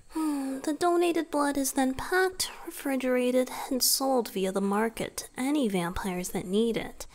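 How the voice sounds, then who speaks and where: A woman voicing a gentle, weary male vampire boyfriend in an intimate ASMR roleplay, soft and breathy, often whispering, explaining tenderly with hesitant pauses. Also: on the high side. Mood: earnest, reassuring.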